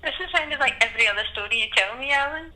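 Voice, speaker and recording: An actress playing a frank, frustrated young bohemian poet in naturalistic radio drama, intense and sardonic. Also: female voice, sits high, lively intonation, very fast, sharp tone, heavily acted, low-quality recording. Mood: teasing. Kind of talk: naturalistic radio-play dialogue.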